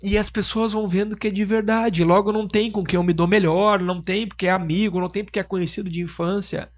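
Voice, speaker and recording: A man's voice: high-pitched, steady tone, fast. A man with a Southern Brazilian accent, a business owner talking on a podcast, emphatic and full of rhetorical questions. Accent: Southern Brazilian Portuguese (Rio Grande do Sul). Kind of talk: casual podcast conversation.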